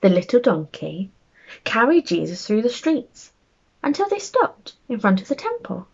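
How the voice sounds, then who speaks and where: A woman telling a bedtime story to young children, speaking gently and expressively as she reads aloud and chats to them. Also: mid-range voice, huge pitch swings, moderate pace, vocal fry, breathy edge, muffled recording.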